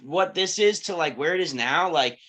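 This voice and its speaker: A man's voice: fairly high pitch, lively intonation, normal speed, pushed and bright. A man chatting casually after a table read, enthusiastic and slangy.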